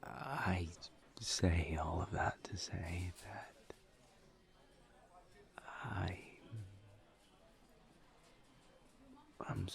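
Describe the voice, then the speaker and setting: A man playing a gentle, guarded incubus in an intimate audio roleplay, murmuring breathily and haltingly with careful pauses. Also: full character voice. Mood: tender, earnest. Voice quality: breathy, creaky, low murmur. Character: gentle, guarded male incubus demon, earnest and reserved. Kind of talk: intimate roleplay audio drama, partly whispered.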